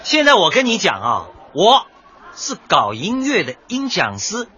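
An actor playing a cocky, argumentative young DJ with dyed hair in a light comedy radio drama. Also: male voice, high-pitched, wildly swooping pitch, a little slow, bright, forceful tone, lo-fi sound, slight background noise, full character voice. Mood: indignant, lecturing. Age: young adult. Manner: brash, glib, protesting, lecturing.

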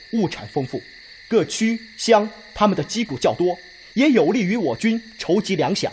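A methodical Red Army officer presses his case point by point in a radio drama. He is emphatic, with a fairly high, very varied pitch. Faint noise sits in the background. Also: darkish tone. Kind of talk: Chinese radio drama acting.

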